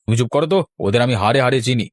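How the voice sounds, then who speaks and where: A man's voice: medium pitch, racing, dry recording, studio quality, natural voice. A man playing a stingy, argumentative married man in a cartoon, scolding and sarcastic as he grumbles. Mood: dismissive, argumentative. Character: stingy, grumbling married man who loves free feasts. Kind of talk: Bengali cartoon voice acting.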